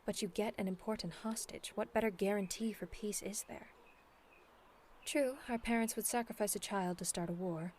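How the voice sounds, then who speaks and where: A woman playing a dignified, earnest demon princess in a scripted ASMR audio roleplay, speaking in a formal, measured, persuasive way. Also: medium pitch, darkish tone, a little hiss or hum, close to an everyday voice. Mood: solemn, earnest. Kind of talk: scripted ASMR roleplay acting, addressed to the listener.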